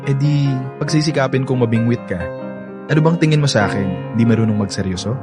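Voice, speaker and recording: An actor playing a reformed young playboy in a radio drama, speaking sincerely and persuasively with a Filipino accent. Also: male voice, medium pitch, brisk, over music, lightly acted. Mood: earnest. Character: earnest reformed young playboy pleading his love.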